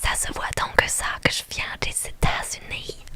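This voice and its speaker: Woman streamer doing excited young american girl new in paris, game heroine, whispery, eager, bit flustered. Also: moderate pace, close to an everyday voice. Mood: polite, eager. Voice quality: breathy whisper.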